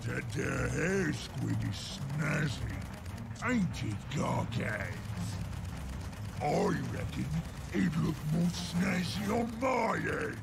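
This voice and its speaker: A man voicing a greedy, brutish ork boss in a cartoon video game, gravelly, boastful and slangy, shouting in broken English. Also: fairly high pitch, big pitch swings, slow pace, heavy background noise, heavily acted. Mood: smug, covetous. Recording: loud game music behind.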